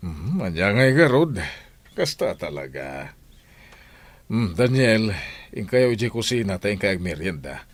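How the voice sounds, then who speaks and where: A hearty older village father from a radio drama. His deep voice swings widely as he questions and exclaims, inquisitive and fatherly. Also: big pitch swings, unhurried, long pauses, no echo.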